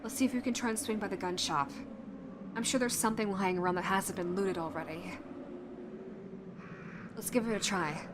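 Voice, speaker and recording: A woman playing a determined, protective young fighter in a zombie outbreak audio roleplay, speaking earnestly and haltingly to the listener. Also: mid-range voice, background noise, close to an everyday voice. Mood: grateful, resolute.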